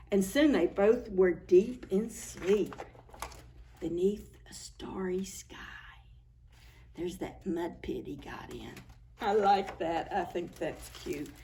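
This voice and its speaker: A woman hosting a preschool story time, reading picture books aloud in an expressive, sing-song way and speaking directly to children. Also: lowish voice, slow pace, slight background noise.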